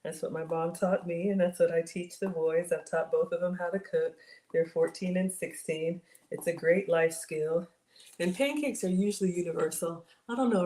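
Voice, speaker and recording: A middle-aged woman with a slightly raspy voice, chatting casually to viewers while she cooks in her home kitchen. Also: on the low side, warm tone. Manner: chatty, talks to viewers as y'all. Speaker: cooking livestream host. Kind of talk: casual live cooking chat with viewers. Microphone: fixed camera at counter. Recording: echoey kitchen with clear background noise.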